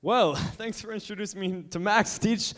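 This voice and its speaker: A young man playing a laid-back, swaggering high-school jock in a staged reading. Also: normal speed, fairly bright tone. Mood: cocky. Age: young adult. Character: cocky jock high-school senior, lazy charmer.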